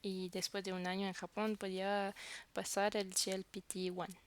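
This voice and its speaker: A woman's voice: medium pitch, level tone, normal speed. A woman whose first language is French, vlogging casually to camera and searching for words as she talks.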